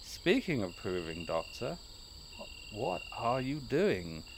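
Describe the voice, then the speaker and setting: An actor playing a pompous small-town mayor who leads a secret lodge, theatrical and commanding but prone to fussiness, in comedy-horror audio drama. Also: male voice, mid-range voice, very animated, slow, creaky, background noise, full character voice. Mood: officious. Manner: theatrical, commanding, then awkwardly fussy.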